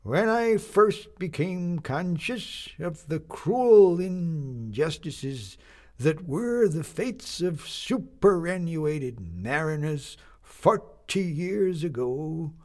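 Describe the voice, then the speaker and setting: A man voicing an ancient, pompous old sea captain with a creaking voice, orating slowly and grandly. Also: fairly high pitch, expressive, a little mellow, pitched above the performer's own voice. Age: older. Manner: slow, grandiloquent speechmaking.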